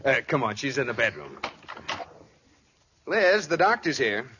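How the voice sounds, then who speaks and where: An actor playing an exasperated, conspiring husband in a 1940s radio comedy, with dry theatrical timing. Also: male voice, medium pitch, big pitch swings, unhurried, sharp tone. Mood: wry, conspiring. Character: exasperated husband conspiring against his scheming wife. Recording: dull, slightly noisy old radio recording.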